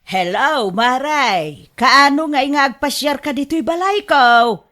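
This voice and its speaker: Bright, dramatic Ilocano mother played by an actress. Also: high voice, takes it slowly, full character voice. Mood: cheerful, inviting. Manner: expressive, exclamatory, laughs easily. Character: excitable middle-aged mother, chatty, fretful, dramatic. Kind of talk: Ilocano radio drama acting.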